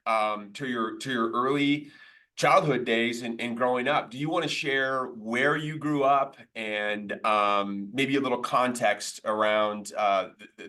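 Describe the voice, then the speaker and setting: A man's voice: mid-range voice, bright, forceful tone. A man hosting a casual interview, speaking earnestly and feeling his way through his phrasing. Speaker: host, mortgage professional.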